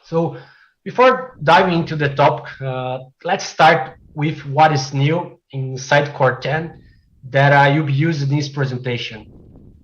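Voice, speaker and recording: A man's voice: a little high, fairly bright tone, highs cut off. Portuguese-native man presenting tech talk. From Brazil. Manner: frequent "uh" fillers, measured delivery. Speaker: conference speaker, software developer. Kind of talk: technical presentation.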